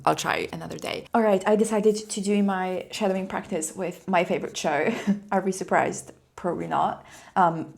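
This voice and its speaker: A young woman who is a native Polish speaker, chatting casually and quickly in a vlog, with frequent light laughs. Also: on the low side, gravelly. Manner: fast-talking, laughs often, upbeat. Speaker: language-learning vlogger.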